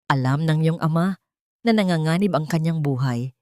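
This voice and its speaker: A woman doing a calm elderly male lawyer. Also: sits low, moderate pace, dry recording, studio quality, lightly acted. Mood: steady.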